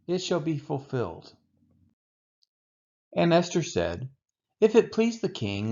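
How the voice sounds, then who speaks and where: A man reads scripture aloud in a deliberate, phrase-by-phrase way. His pitch moves widely across each sentence while the pace stays on the slow side. The recording is a little dull and lo-fi. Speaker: scripture reader. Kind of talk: measured Bible read-aloud, scripted narration.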